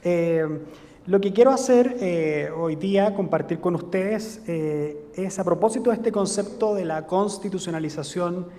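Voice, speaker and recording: A man with a Chilean accent giving an academic lecture as a guest speaker, hesitant and pausing often with filler sounds. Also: high voice, warm tone. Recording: reverberant hall.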